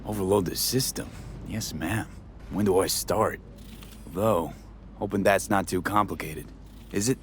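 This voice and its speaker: A man's voice: low voice, unhurried, pauses often. An actor playing a laconic male mercenary in a video game, dry, clipped and wry. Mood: wry, attentive. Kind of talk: video game dialogue acting. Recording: vehicle and outdoor noise behind.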